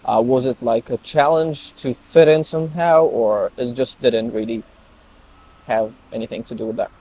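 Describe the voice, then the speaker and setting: A man hosting a podcast, asking his guest questions in halting, searching phrasing. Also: medium pitch, fairly even intonation, dark tone, rough recording. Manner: hesitant, searching phrasing with fillers. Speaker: podcast host, interviewer. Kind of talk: casual interview.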